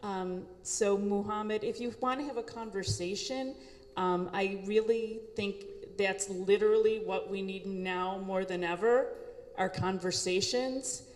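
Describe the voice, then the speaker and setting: A woman's voice: medium pitch, a little slow, darkish tone. A woman host at an arts studio, giving closing remarks to the audience. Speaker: gallery staff host. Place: gallery hall. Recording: reverberant hall.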